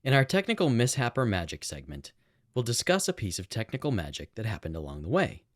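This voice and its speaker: A man's voice: lowish voice, wildly swooping pitch, fairly quick, dry recording, studio quality. A man co-hosting a podcast in casual chat, rambling conversationally through his explanations. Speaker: podcast co-host. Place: house room with improvised soundproofing. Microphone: own podcast microphone on a boom arm.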